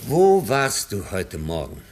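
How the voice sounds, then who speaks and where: An actor playing a stern, worried professor and guardian in a 1950s German radio play, speaking in an earnest, moralizing way. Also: male voice, huge pitch swings, takes it slowly, a little mellow, heavily acted. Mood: suspicious. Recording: rumbly old 1950s radio recording.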